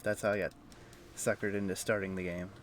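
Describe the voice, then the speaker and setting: A man streaming a video game, thinking aloud in a low-key, conversational way with casual commentary. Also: level tone, brisk, slight background noise. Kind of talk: casual live gameplay commentary and chat.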